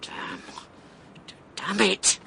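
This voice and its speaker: An actor playing a brash, volatile election candidate and ex-army major in a radio drama, defiant and agitated. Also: male voice, drawn-out words, bright, forceful tone, a little hiss or hum.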